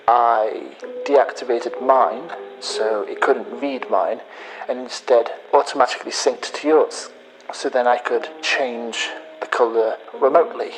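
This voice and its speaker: Bitter, slow-talking actor as a male hacker. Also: medium pitch, a little hiss or hum, lightly acted, low-quality recording. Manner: deliberate, pausing, accusatory. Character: bitter male hacker confessing revenge to his betrayed friend. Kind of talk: dramatic monologue in a sci-fi audio story.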